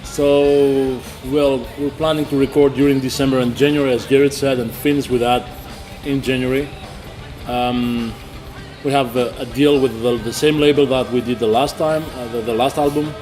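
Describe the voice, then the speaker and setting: Give me a man from a band who talks through his band's plans in an interview, speaking halting English padded with fillers. His voice sits at a mid pitch, and clear music runs underneath him the whole time. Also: fairly even intonation, darkish tone. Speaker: band member. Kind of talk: casual interview chat.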